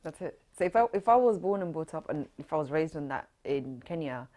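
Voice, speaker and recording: Woman, 30s, London accent, low voice, earnest interviewee in an oral history interview. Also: expressive, fairly quick, dark tone. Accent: London English. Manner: reflective, earnest, with many fillers.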